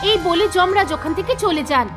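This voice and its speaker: A woman with a strikingly high voice narrates a scripted cartoon folk tale. She works as a storyteller, and soft music plays underneath her. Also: moderate pace. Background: background music.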